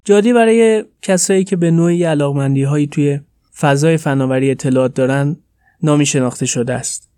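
A man's voice: fairly high pitch, dark tone, dry recording, faint music behind. Dry, close-sounding Iranian man hosting a podcast. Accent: Iranian Persian. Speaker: podcast host. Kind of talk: scripted podcast narration.

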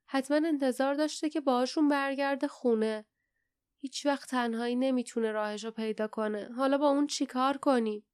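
Woman voicing a gruff, grumbling male adventurer. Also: high voice, fairly even intonation, a little mellow, dry recording, clean studio sound, pitched above the performer's own voice, close to an everyday voice. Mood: worried grumbling. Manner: grumbling, blunt. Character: gruff, protective grown man adventurer, scolding.

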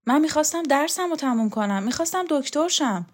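A woman doing a high, fretful young student. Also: animated. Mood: anxious. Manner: pleading, quick and fretful. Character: earnest young male student, anxious and idealistic.

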